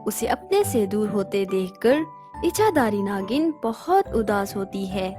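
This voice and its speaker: A woman's voice: mid-range voice, big pitch swings, unhurried, over music. A woman narrating a scripted story for children.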